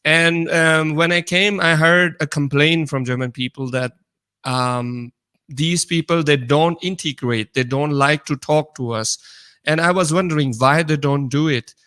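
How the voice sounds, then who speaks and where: A man with a Pakistani accent talking casually as a podcast co-host, explaining things earnestly in halting non-native English. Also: a little high, sharp tone, clear, resonant tone. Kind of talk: casual personal conversation.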